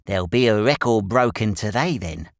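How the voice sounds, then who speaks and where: Storybook role: man as ill-mannered English schoolboy, brash, scornful, very animated intonation, dry recording. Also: lowish voice, normal speed, dry recording. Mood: defiant. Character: cocky, rude, ill-mannered schoolboy scout.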